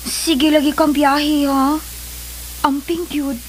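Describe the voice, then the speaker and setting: A woman's voice: high-pitched, little pitch movement, slow pace. A woman playing a sweet, affectionate young girlfriend on the phone in a Philippine radio drama, with a Filipino Cebuano accent. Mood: fond. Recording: phone call scene with clear hiss.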